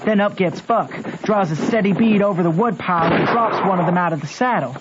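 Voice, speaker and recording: A man's voice: high voice, brisk, heavy background noise, close to an everyday voice. A man playing a rustic American boy with a Southern accent, telling his adventures in plain country dialect for a radio drama. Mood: tense recounting. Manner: folksy, plain-spoken storytelling in country dialect. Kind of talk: old-time radio drama narration. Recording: dull old radio recording with music.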